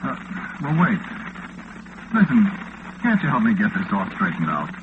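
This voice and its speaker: An actor playing a bewildered, harried late-night disc jockey in a flustered, exasperated 1940s radio drama style. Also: male voice, lowish voice, wildly swooping pitch, moderate pace. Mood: anxious. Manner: flustered, exasperated, muttering to himself. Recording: noisy lo-fi old radio recording.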